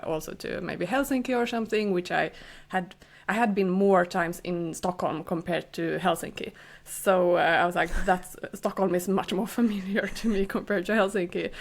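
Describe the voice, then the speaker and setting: Woman, Finland-Swedish accent, podcast co-host, chatty, laughs a lot, medium pitch. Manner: chatty, laughs often, many restarts. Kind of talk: casual chat.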